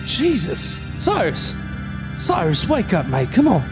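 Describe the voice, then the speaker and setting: Actor as impatient young man, mocking, blunt, slangy, highish voice, lively swooping intonation, horror audio drama. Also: a little slow, over music, full character voice. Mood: alarmed.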